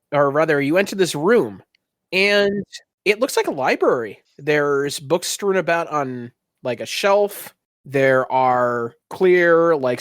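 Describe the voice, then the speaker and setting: A man hosts a tabletop roleplaying game in a fairly high voice. He describes scenes and chats casually with the players. The sound is very dry, with no room around it. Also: no room sound. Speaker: game master and host.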